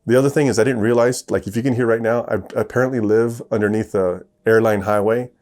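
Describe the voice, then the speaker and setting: A man's voice: on the low side, steady tone, quick pace, a little mellow. A young adult man hosting a casual podcast from home, talking in a rambling, self-deprecating way with many fillers and restarts. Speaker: podcast host. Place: home, planes passing overhead.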